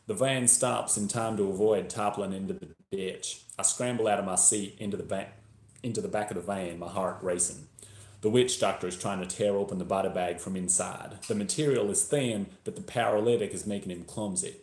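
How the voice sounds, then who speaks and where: A lowish, hard-boiled Texan man as a reader acts him out tells a crime thriller in the first person. He sounds tense and speaks with an attempted Texas drawl. The room echoes around him on a live stream. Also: steady tone, a little fast, darkish tone, close to an everyday voice. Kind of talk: first-person audiobook-style thriller reading. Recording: roomy echo, live video stream.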